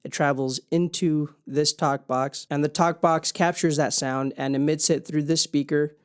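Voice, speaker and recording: A man's voice: fairly high pitch, steady tone, moderate pace, clean tone. A man hosting a casual hands-on explainer video, speaking in an informal, plain-spoken way. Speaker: video host.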